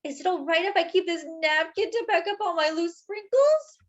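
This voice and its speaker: A woman's voice: extremely high pitch, normal speed, lo-fi sound, voice pushed up. A woman voicing a talkative little male doughnut, eager and dramatic, in a children's storybook reading. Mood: sad, brave.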